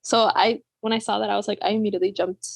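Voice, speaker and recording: A woman's voice: medium pitch, level tone, very fast, gravelly, no room sound. A woman chatting casually as a book podcast co-host, bubbly and gushing with a giggly way of talking.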